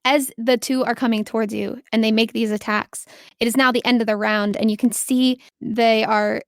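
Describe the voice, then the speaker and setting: A woman's voice: fairly high pitch, little pitch movement, normal speed, dry recording, studio quality. A woman speaking casually and encouragingly as game master, narrating a tabletop game.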